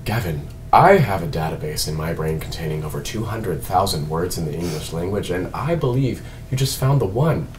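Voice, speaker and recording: A man playing a formal, dry-witted android detective, precise and deadpan, in a script read. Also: low-pitched, moderate pace, hardly any pauses. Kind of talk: table read of a film script. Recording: distant mic, faint room noise.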